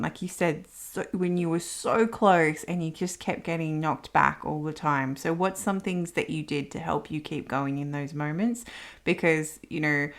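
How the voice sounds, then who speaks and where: Very low-pitched woman hosting a podcast. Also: fairly bright tone. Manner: affirming, reflects back what the guest said. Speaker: podcast host. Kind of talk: conversational interview.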